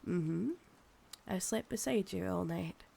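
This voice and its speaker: A woman acts out an ancient Scottish vampire woman for ASMR roleplay, proud, possessive and seductive. She speaks in a low, gravelly voice at a very unhurried pace, intimately teasing and looking down on her listener. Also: mellow, heavily acted. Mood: intimate, reassuring. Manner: slow, teasing, condescending, calls listener darling.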